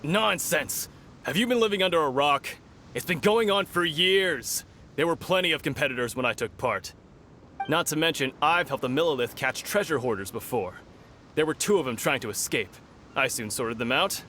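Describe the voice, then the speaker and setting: A man's voice: a little high, lively intonation, moderate pace, pushed and bright, slight background noise. A man voicing a boastful, cocky fighter in a video game, with a brash manner. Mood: boastful, defensive.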